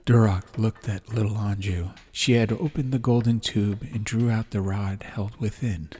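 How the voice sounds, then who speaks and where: A man's voice: lowish voice, steady tone, normal speed, darkish tone, husky. A man reading an atmospheric fantasy audiobook as a scripted storyteller. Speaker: audiobook narrator. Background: music.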